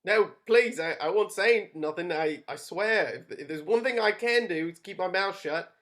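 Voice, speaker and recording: A woman's voice: fairly low pitch, moderate pace, fairly bright tone, pitched below the performer's own voice, natural voice. A woman voicing a nervous young working-class man in a table read. He stammers and pleads. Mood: pleading. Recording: dull lo-fi home mic.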